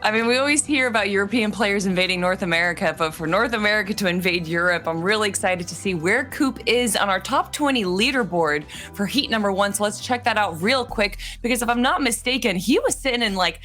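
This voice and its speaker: A woman's voice: medium pitch, fairly quick, hardly any pauses, sharp tone. A woman presenting a live esports broadcast as host, with a conversational, enthusiastic delivery. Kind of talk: live esports desk commentary. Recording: music playing behind the voice.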